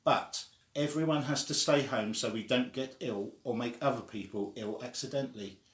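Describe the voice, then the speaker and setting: A man's voice: medium pitch, moderate pace, lightly acted. A man voicing a caring, reassuring parent in a children's storybook, with a plain delivery. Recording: echoey room.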